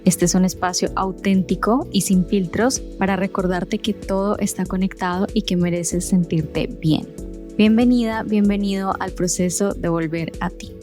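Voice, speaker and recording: A woman's voice: medium pitch, steady tone, normal speed, a little mellow, soft background music. A woman, hosting her own podcast and telling her personal story in an earnest, conversational way. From Colombia. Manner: conversational, earnest, with small fillers. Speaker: podcast host and health coach.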